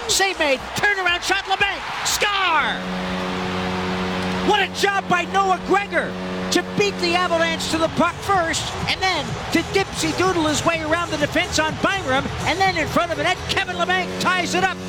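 A man calling live hockey play-by-play with an excitable, dramatic delivery. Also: very high voice, heavy background noise. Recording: radio game broadcast with music underneath. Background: music.

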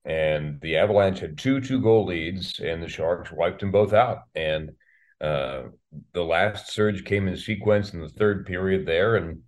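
A man speaking casually as an interview guest, halting and reflective as he thinks aloud. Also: deep voice. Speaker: guest, radio play-by-play announcer.

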